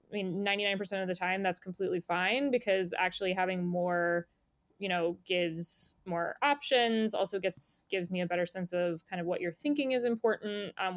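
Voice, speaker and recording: A woman's voice: mid-range voice, dry recording. A woman speaking as an interviewed editor, talking casually and thoughtfully in an informal, conversational way. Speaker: magazine editor being interviewed.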